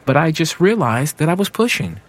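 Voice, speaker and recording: A man's voice: fairly high pitch, sing-song, fairly quick. A middle-aged man, an opera tenor, answering interview questions in a thoughtful, earnest way with small restarts.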